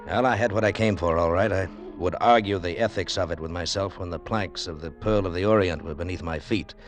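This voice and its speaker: An actor playing a weary, cynical adventurer with a wry, hard-boiled delivery in a 1940s radio drama. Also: male voice, deep voice, close to an everyday voice. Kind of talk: 1940s radio acting with first-person narration.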